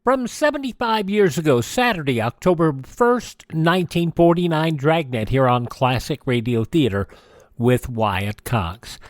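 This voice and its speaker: A man's voice: a little high, lively intonation, measured pace, no echo, soft background music. American man reading news, close and dry. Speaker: radio show host. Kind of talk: reading old news on a radio show.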